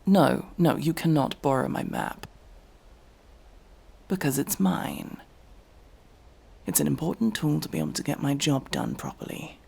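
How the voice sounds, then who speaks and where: A man's voice: a little high, wildly swooping pitch, unhurried, creaky, pauses often, darkish tone, husky, exaggerated voice. A man playing an aloof, prickly elf mercenary in a fantasy ASMR roleplay, speaking in a curt, sardonic, exasperated manner. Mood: stern, sardonic. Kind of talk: ASMR fantasy roleplay, acted monologue to listener.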